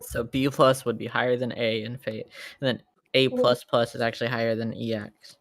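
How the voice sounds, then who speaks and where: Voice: mid-range voice, little pitch movement, moderate pace, gravelly. A player in a tabletop game speaks in a mid-range voice. The talk is casual banter, blunt and teasing, with some swearing.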